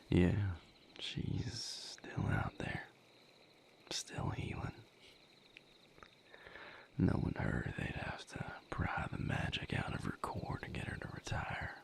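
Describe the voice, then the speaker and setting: Man acting a low, whispery, gravelly vampire lover. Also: full character voice. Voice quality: breathy, gravelly near-whisper. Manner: unhurried, halting, soft-spoken with long pauses. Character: tender, weary male vampire mate, quietly reflective. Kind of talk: intimate audio roleplay acting.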